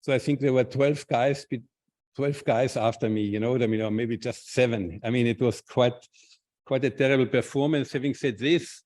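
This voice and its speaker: Halting Austrian man giving a talk. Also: mid-range voice, steady tone, darkish tone. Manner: halting, many restarts and repeated words. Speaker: invited speaker, clinician researcher. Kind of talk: conference talk with slides.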